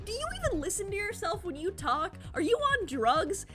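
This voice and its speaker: Very high-voiced accusatory woman commentator. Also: very animated, normal speed, fairly bright tone. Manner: accusatory, emphatic, sweary. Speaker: video commentator. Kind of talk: scripted rebuke commentary. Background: background music.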